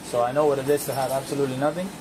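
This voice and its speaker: Male cop, rapid patient de-escalation talk, body-camera sound with heavy noise. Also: medium pitch, steady tone. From Cuba. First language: Spanish. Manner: patient, coaxing, quick-talking. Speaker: police officer. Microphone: police body camera. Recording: noisy body camera audio.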